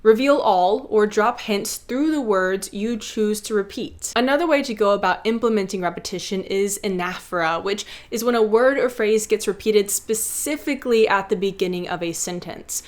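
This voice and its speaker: A woman with a medium-pitched voice narrates a scripted explainer. She speaks articulately, like the host of an instructional video essay, with a playfully teacherly manner. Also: normal speed, few pauses.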